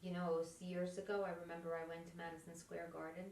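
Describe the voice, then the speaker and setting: A woman's voice: on the low side, little pitch movement, fast talker, a little mellow, echoey room, distant mic, slight background noise. A woman with a New York accent, a guest on a podcast, talking bluntly and teasing in casual chat. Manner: blunt, teasing, matter-of-fact. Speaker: podcast guest, energy healer.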